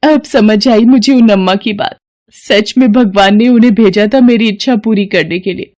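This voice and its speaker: A woman's voice: medium pitch, normal speed, darkish tone, lightly acted. A woman voicing an emotional village mother in a dramatic, exclamatory way for a Hindi cartoon moral story. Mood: astonished, urgent. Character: Mala, emotional village wife and mother, proud then desperate.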